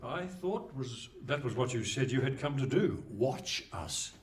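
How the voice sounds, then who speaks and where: A man's voice: mid-range voice, expressive, measured pace, close to an everyday voice. A man playing a pompous, wry radio presenter, bantering theatrically in a live hall. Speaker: radio play host. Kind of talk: live radio play acting. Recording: live hall with faint noise.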